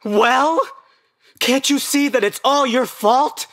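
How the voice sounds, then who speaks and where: A man's voice: very high-pitched, slow pace, a bit bright, exaggerated voice. A man acting an aggrieved, self-pitying adult son in a comedic audio play, ranting and indignant.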